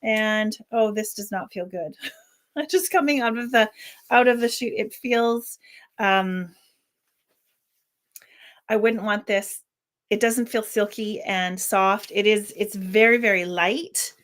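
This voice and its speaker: A woman's voice: mid-range voice, slow, long pauses, a bit bright. Rambling woman reviewing products. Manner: rambling, thinks aloud with many restarts. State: slightly squeaky voice. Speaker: worship flag maker and channel host. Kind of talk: casual unboxing product review.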